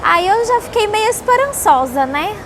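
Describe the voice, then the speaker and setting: Woman from salvador brazil, really high voice, chatting about herself in an interview, noisy vehicles in back. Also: big pitch swings, normal speed, fairly bright tone. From Salvador, Bahia, Brazil. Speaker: interviewee, company employee. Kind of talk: casual personal storytelling. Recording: noisy background with vehicle sounds.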